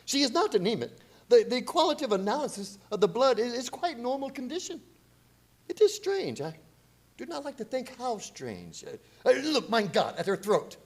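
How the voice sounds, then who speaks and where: A grave old professor in a radio drama. His voice sits high and swings widely in pitch. He speaks in halting, urgent warnings, full of foreboding. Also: sing-song, full character voice. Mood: alarmed. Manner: halting, foreign phrasing, ominous.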